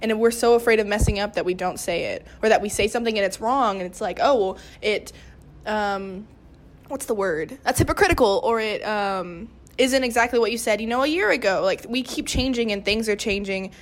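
A woman's voice: medium pitch, a little fast. A woman in her late teens speaking casually and earnestly as a student guest, with an emphatic way of making points. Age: teens, about 18. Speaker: podcast guest, student cast member. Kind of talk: casual group discussion.

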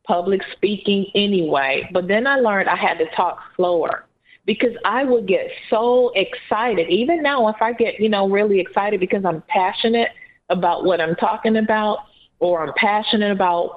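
A woman with a Southern US accent, co-hosting a podcast in an earnest, encouraging, unhurried manner. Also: mid-range voice. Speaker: podcast co-host. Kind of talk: casual podcast chat. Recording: phone-like narrow band.

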